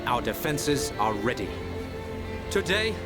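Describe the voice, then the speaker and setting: A man's voice: mid-range voice, sing-song, slow. A man playing a noble young prince rallying his army in a video game, stately and declamatory. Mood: resolute. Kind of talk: scripted video game cutscene acting. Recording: loud orchestral music over voice.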